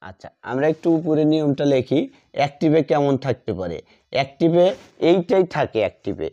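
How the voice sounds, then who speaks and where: A man teaching a grammar lesson, repeating key words slowly as he writes them out. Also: on the high side, very animated, mellow. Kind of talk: classroom lesson at a board. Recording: dull lo-fi sound.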